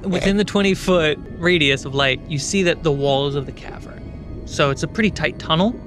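A man narrating a tabletop game as game master, telling the story deliberately and dramatically with pauses. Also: on the high side. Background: background music.

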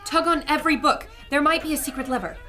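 An actress playing a sharp-witted adventurer in a pulp radio serial, brisk, articulate and dramatic as she reports to listeners. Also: high voice, over loud music, natural voice. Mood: urgent, clever.

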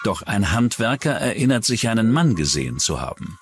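A male documentary narrator delivers scripted true-crime material. His voice sits fairly low and moves with lively intonation. Soft music plays faintly behind him. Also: a little fast.

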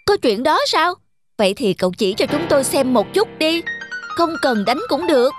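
A woman voicing a greedy, gullible, hot-tempered monkey in a children's cartoon, excitable in manner. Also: high-pitched, moderate pace. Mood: eager. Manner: eager, excitable. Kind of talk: children's cartoon fable dialogue. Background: background music.

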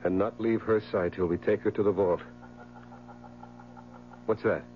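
An actor playing a grief-stricken, haunted husband in a theatrical, impassioned 1970s radio drama style. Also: male voice, on the low side, fairly even intonation, a little slow, close to an everyday voice. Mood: grieving, then frenzied. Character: anguished, grief-stricken husband haunted by his dead wife. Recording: muffled old radio recording, mains hum.

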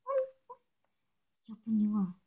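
A woman's voice: medium pitch, wildly swooping pitch, measured pace, breathy edge, phone-quality sound. A woman video blogger chatting casually and ramblingly to herself and her viewers while showing things, chewing gum as she talks. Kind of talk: casual chatty nail polish show-and-tell.

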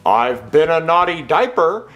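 A man's voice: on the high side, sing-song, unhurried, fairly bright tone, dull audio. A man voicing a pushy, naughty talking diaper that coaxes and demands in a comic character style. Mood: coaxing. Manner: coaxing, demanding. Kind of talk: comic cartoon-like character acting.

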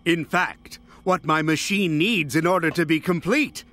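A man's voice: a little high, measured pace, a bit bright. A man playing a gloating, pompous middle-aged mad-scientist villain in a video game cutscene. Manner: pompous, grandiose, menacing.

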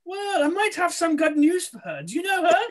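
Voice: extremely high pitch, very animated, moderate pace. A performer playing a scheming, boastful male sorcerer in an amateur pantomime read-through, with theatrical, cackling delivery over a video call. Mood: sly, coaxing. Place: small room, on a video call. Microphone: computer mic on video call.

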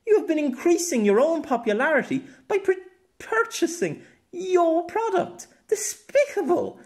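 A man's voice: very high voice, expressive, a little slow, darkish tone, pitched above the performer's own voice. A man voicing an imperious, theatrical young woman in an audiobook, speaking in a formal, pompous manner. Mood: indignant. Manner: formal, pompous, overly dramatic. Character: youthful silver-haired woman, imperious, theatrical, childishly gleeful. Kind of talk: amateur audiobook character acting.

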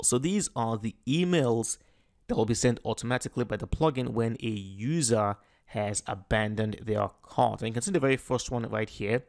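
A man's voice: medium pitch, darkish tone. Casual male tutorial voice-over. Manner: casual, explanatory, with frequent fillers and restarts. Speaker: tutorial host. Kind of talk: screen-recorded software tutorial. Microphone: voice-over on a screen recording.

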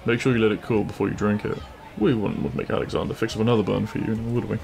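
A man playing a cheeky, kind-hearted bandit prince in an intimate audio roleplay, with a gravelly voice and a teasing, reassuring manner. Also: lowish voice, steady tone, rapid-fire, darkish tone. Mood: cheerful.

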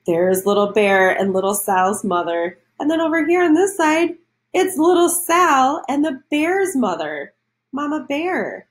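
A woman's voice: high-pitched, measured pace. A woman reading a children's storybook aloud, adding explanations and questions for young listeners.

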